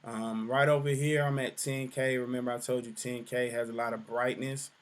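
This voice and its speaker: A young adult man with a deep voice giving a relaxed music production tutorial, full of fillers. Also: moderate pace. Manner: relaxed explaining, many fillers. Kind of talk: screen-share tutorial explanation.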